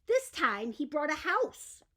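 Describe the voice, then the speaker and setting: A woman's voice: high-pitched, big pitch swings, takes it slowly, a bit bright, voice pushed up, exaggerated voice. A woman voicing an eager fisherman's wife who always wants more, emphatic and demanding, in a bedtime story. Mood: complaining. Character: eager, ever-wanting fisherman's wife in a fairy tale.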